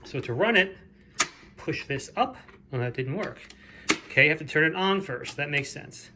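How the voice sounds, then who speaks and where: Over some background noise, a man with a slightly high voice presents secondhand finds. He talks off the cuff in a plain, matter-of-fact way, like a hobby reseller hosting a home-made show. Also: pushed and bright. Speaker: flea market reseller hosting own show. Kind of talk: casual unscripted product show-and-tell.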